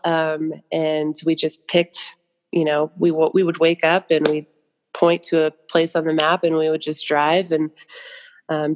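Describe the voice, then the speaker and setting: Young american woman chatting as a podcast guest, low voice, long reverb, informal and rambly. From United States. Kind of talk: casual conversational storytelling.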